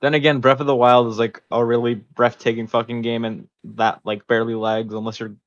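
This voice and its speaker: A man's voice: medium pitch, little pitch movement, brisk, no echo, highs cut off. A man in casual podcast chat as a co-host, blunt and skeptical, swearing casually.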